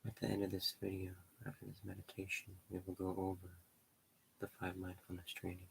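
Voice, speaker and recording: A man leading a guided meditation in slow, measured short phrases with pauses between them. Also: low-pitched, completely flat delivery, creaky, slight background noise, poor audio.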